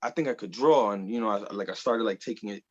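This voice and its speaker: A man's voice: fairly low pitch, fast talker. Rambling man in his thirties, muffled audio. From the Bronx, New York. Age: 30s. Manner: loose and rambling, full of "like" and "bro", swears casually. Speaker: guest artist. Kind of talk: casual interview chat.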